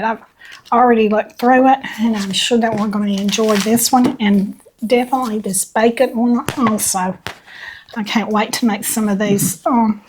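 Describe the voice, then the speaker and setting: An older woman co-hosting a channel, her voice a little hoarse from allergies, reading viewer notes aloud in a folksy chat. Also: a little high, a little mellow, slight background noise, rough recording. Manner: folksy, reads notes aloud with small laughs. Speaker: channel co-host.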